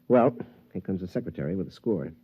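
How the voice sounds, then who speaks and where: A man's voice: deep voice, expressive, fast talker, heavy background noise. A man playing a plain-spoken, stubborn pro golfer in a 1950s radio drama, terse and understated in his words. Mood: uneasy. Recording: muffled vintage 1950s radio recording.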